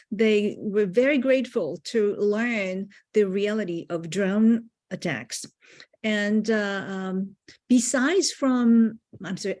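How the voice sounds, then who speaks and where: A woman speaking politely and haltingly at an activist meeting, often searching for words. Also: medium pitch, darkish tone. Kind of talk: informal meeting remarks.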